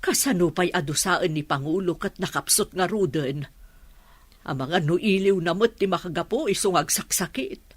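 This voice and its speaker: A woman's voice: fairly low pitch, normal speed, breathy edge, no room sound. A woman with an Ilocano accent playing a fretful, worried mother and madam in a Filipino radio drama. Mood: upset.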